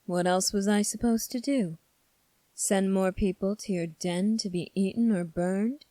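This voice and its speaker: A woman playing a calm, sly young prince in an ASMR roleplay, speaking in a measured, formal, teasing way. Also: mid-range voice, no room sound, close to an everyday voice. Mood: coolly probing. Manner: measured, formal, coolly probing. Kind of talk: ASMR roleplay audio drama.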